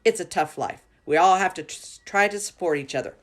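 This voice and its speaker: A woman's voice: lowish voice, brisk, lightly acted. A woman voicing a feisty older schoolgirl in a storybook, telling tales in an emphatic, boastful, battle-worn way. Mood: fierce, rousing.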